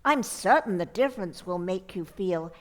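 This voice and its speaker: A woman playing an earnest, reassuring beauty authority, reading an old-time radio advertisement in a measured, persuasive way. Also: fairly low pitch, expressive, natural voice.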